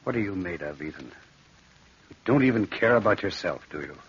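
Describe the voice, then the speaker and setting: A man's voice: deep voice, expressive, fairly quick, husky. An actor playing a stern, grave, accusing man in 1940s radio drama, on a dull old recording. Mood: grave, disgusted. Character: Barton, stern, appalled brother and kiln owner.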